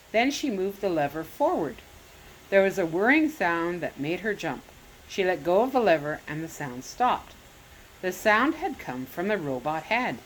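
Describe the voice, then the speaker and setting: A woman reading a children's chapter book aloud as a storyteller, expressive and animated, now and then correcting herself. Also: on the low side, slight background noise, rough recording. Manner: expressive read-aloud with lively rises on exclamations; occasional self-corrections.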